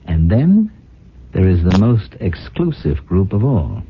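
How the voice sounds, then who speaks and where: Ambitious brooding rich man in old radio play, emphatic, pitch all over, tinny phone-like audio. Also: very animated, takes it slowly, a little hiss or hum, full character voice. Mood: driven, grandiose. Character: ambitious, restless rich idler hungry for power. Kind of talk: 1970s radio drama acting.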